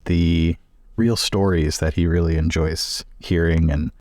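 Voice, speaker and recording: A man's voice: low-pitched, steady tone, a little slow, darkish tone, no room sound, clean studio sound. A man playing a tabletop game, casually describing what his character does.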